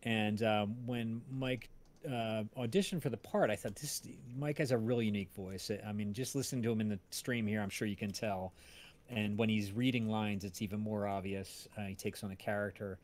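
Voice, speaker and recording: A man hosting a casual livestream chat, talking in a halting way as he searches for words. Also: fairly low pitch, steady tone, darkish tone. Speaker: host of a cast and crew livestream, audio drama writer.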